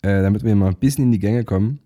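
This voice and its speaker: A man's voice: on the low side, very fast, dark tone. A man co-hosting a casual podcast, teasing the others and laughing a lot. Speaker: podcast co-host. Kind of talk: casual banter.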